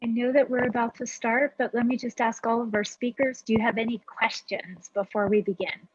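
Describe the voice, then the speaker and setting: A woman's voice: on the high side, level tone, brisk, breathy edge. A woman moderating a webinar, chatting politely and reassuringly with speakers before it starts, heard over a video call. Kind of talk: casual pre-show chat on a video call. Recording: dull, dry video call audio.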